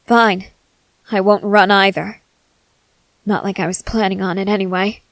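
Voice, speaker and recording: Casting: actress as stern young female fighter, wary and intense, unhurried delivery, very dry close sound, ASMR drama. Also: mid-range voice, level tone, no room sound, close to an everyday voice. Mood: threatening. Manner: slow, deliberate, terse, intense delivery with pauses. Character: stern young female soldier, suspicious, guarded, threatening. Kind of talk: ASMR character roleplay, dramatic acting.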